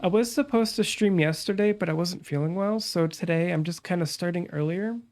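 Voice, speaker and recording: A young woman VTuber chatting calmly about her stream plans, her nose stuffed up from a cold, with lots of fillers. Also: on the low side, a little fast. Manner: calm, relaxed, rambling with many fillers. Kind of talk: casual stream chat.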